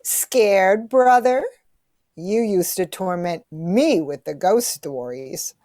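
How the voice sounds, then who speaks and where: A woman's voice: mid-range voice, lively intonation, slow, mellow, no room sound, studio quality. A woman playing a grieving, hopeful young 1920s little sister in a radio play. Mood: teasing.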